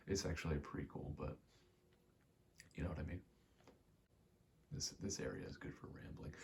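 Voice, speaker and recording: A man's voice: extremely low pitch, creaky, a little mellow, a little hiss or hum. A young man giving a casual, meandering ASMR ramble while gaming, often interrupting himself in an understated way. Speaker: ASMR creator.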